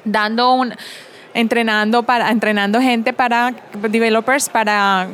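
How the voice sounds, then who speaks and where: Woman from Colombia, tech presenter, enthusiastic, explanatory, somewhat high pitch. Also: steady tone, a little slow, a bit bright, clean tone. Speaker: guest speaker and tech presenter. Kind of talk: casual tech interview chat.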